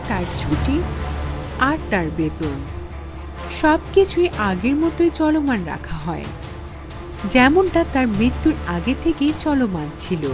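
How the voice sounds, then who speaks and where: A woman narrating a scripted horror story in a dramatic storytelling style. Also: sits high.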